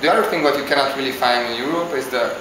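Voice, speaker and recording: A man from Hungary, answering interview questions in a halting, casual way as he searches for words. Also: medium pitch, background noise. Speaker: interviewee, visiting traveler. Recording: very echoey with clear background ambience.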